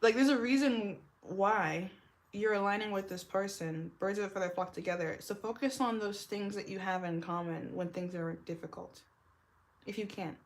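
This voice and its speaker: A young woman with a deep smoker's voice, giving a casual tarot reading and rambling with lots of "like". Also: normal speed. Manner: rambling, heavy use of "like", laughs often. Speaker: tarot reader.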